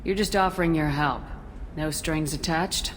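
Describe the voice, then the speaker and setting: An actress playing a resolute space military commander in a video game, direct and businesslike. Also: female voice, low-pitched, normal speed, a little hiss or hum, natural voice. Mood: probing, composed. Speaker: game protagonist. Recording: game soundtrack music behind.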